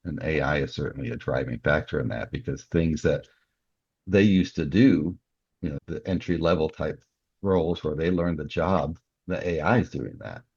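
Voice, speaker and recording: Male interview guest, extremely deep voice, casual and explanatory delivery, slightly muffled lo-fi audio. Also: normal speed, a little mellow, husky, no echo. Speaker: guest, author and cybersecurity professional. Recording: slightly dull, lo-fi sound.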